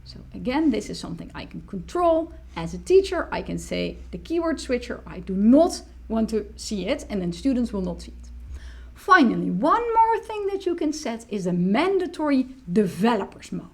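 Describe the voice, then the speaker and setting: A woman in her thirties, a native Dutch speaker, explaining a software tool step by step in a screen-recorded tutorial from a small room. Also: on the high side, big pitch swings, normal speed, creaky. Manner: explanatory, walks through clicks step by step. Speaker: teacher tool demonstrator.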